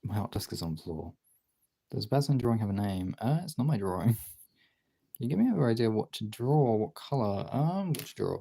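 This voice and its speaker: A man's voice: fairly low pitch, expressive, a little fast, vocal fry, warm tone, husky. A man talking in an informal, rambling way, thinking aloud as he gives a casual art demonstration as a streamer. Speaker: streamer, artist. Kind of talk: casual chat while demonstrating drawing.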